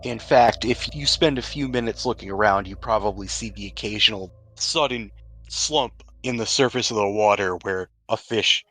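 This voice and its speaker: A man's voice: on the low side, normal speed, bright, forceful tone, rough recording. A man running a tabletop game as game master, describing scenes and explaining rules in a conversational, improvised way. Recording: music playing behind the voice. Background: music.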